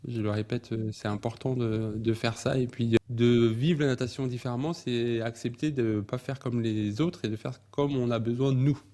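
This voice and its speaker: A man's voice: medium pitch, steady tone, normal speed, few pauses, mellow. A man hosting a podcast interview who speaks in an encouraging way, summing up and restating his guest's points. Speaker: podcast host. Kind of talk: casual interview talk.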